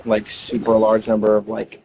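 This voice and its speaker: A man's voice: on the low side, completely flat delivery, moderate pace, gravelly, warm tone, a little hiss or hum, low-quality recording. A man giving casual gameplay commentary, rambling and thinking aloud as he goes. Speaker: gamer commenting on own playthrough.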